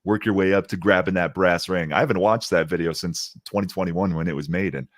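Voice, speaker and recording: A young man with a deep, gravelly voice telling upbeat stories as a guest on a video call, laughing often. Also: fairly even intonation, brisk, dry recording, studio quality. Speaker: guest, ring announcer. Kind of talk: casual interview chat.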